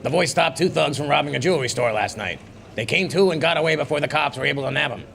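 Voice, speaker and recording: A gravelly, fast-talking man acting in a comic radio serial, clipped and brusque like a newspaper chief announcing the story. Music plays behind him. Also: a little high, a bit bright. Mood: brisk, dramatic.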